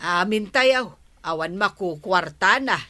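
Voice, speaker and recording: A woman playing a weary, nagging village woman in a comic radio drama, with drawn-out, exasperated delivery. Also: mid-range voice, animated, pushed and bright, no echo, clean studio sound. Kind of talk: comedic radio drama acting.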